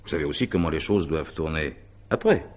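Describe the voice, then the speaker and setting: An actor playing a courteous, sceptical businessman in a French radio drama, speaking haltingly and with polite disbelief. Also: extremely low pitch, expressive. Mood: incredulous, worried. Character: sceptical, impulsive businessman, married, courteous, flustered. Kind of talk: 1950s-style French radio drama acting.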